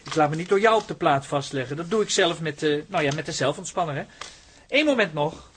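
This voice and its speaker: A flustered, vain man in his forties, played by an actor, with a high voice that swings widely. He hesitates and splutters his protests in radio drama style, over faint noise. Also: expressive, a little fast, natural voice. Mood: fussy, self-important. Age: 40s. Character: flustered, vain middle-aged amateur photographer, easily talked round. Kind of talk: Dutch radio drama acting.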